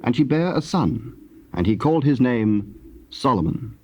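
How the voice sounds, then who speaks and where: A man with a husky voice narrating scripture in a solemn, scripted style. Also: medium pitch, expressive, moderate pace, dark tone. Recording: dull, lo-fi tape sound.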